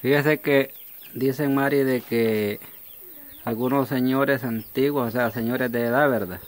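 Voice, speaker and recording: A man chatting casually while filming with a phone in an outdoor rustic kitchen, halting and repeating words. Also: medium pitch, steady tone. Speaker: off-camera family member filming. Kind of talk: casual family chat. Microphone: handheld phone camera.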